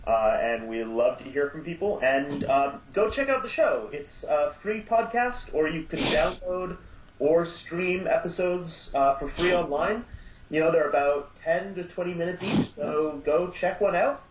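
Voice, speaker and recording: A man appearing as a guest on a podcast, speaking casually and haltingly with lots of ums. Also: on the high side, reverberant, muffled recording, a little hiss or hum. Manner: halting, many ums, easygoing. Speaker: guest, audio drama producer. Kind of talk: casual chat.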